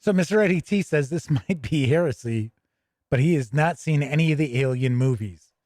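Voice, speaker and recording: A man's voice: on the high side, expressive, normal speed, a little mellow, no echo, studio quality. A man hosting a tabletop gaming show, giving casual, chatty, rambling commentary off the cuff. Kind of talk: casual unscripted commentary while leafing through a book.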